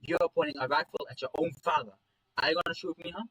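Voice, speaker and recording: A man's voice: a little high, fast, pushed and bright, slightly rough, voice pushed up, poor audio. Man playing harsh animal dad, storybook character, domineering, scolding, accusatory, disapproving. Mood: disgusted anger. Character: stern, hateful animal father, domineering and disapproving.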